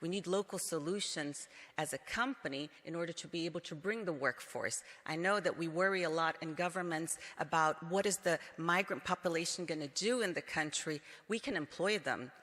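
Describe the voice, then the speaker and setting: A man from Brazil, a company executive, giving a measured conference presentation with frequent um fillers. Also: fairly high pitch, bright, forceful tone. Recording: long reverb of a conference hall.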